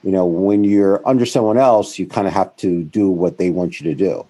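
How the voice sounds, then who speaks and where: A middle-aged man speaks as an interview guest in a very low, mellow voice with a husky edge. He rambles and corrects himself, and he livens up when he mimics other people. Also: moderate pace. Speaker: guest, founder of a financial firm. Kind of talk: conversational interview storytelling.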